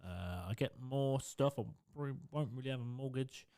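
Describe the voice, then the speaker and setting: A man's voice: medium pitch, fairly quick, dark tone, smooth, dry recording, studio quality. A young man co-hosting a casual podcast, swearing often and laughing as he tells his story. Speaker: podcast co-host. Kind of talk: casual chat. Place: home garage. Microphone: studio podcast mic.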